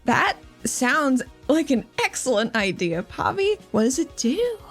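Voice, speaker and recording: Plucky, affectionate female adventurer for a tabletop game, played by a woman, higher voice, informal and encouraging. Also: big pitch swings, unhurried, faint music behind, heavily acted. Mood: amazed. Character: plucky, affectionate woman leader psyching up her partner.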